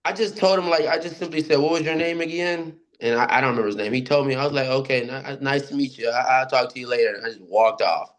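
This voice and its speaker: Man haltingly telling a personal story. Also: fairly even intonation. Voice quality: deep voice. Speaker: participant sharing personal experiences. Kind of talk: casual personal storytelling in a group discussion.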